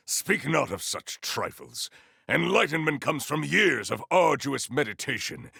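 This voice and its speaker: An actor playing a solemn, honor-bound warrior-monk in a video game, speaking in a formal, stately, theatrical way. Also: male voice, mid-range voice, very animated, slow pace, creaky, bright, forceful tone, slightly rough, no echo, clean studio sound, exaggerated voice. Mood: formal, steady. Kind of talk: scripted video game dialogue.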